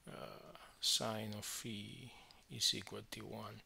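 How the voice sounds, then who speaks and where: Guy teaching physics step by step, really bright forceful voice, bit gravelly, takes it slow. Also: lowish voice, level tone. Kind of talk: step-by-step worked problem explanation.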